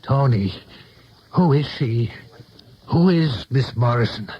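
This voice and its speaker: An actor playing a plain-spoken, loyal confidant in a 1970s radio drama, folksy and emphatic. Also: male voice, mid-range voice, expressive, takes it slowly, husky. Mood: alarmed. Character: blunt, loyal male confidant of an aging politician. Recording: dull lo-fi 1970s radio recording.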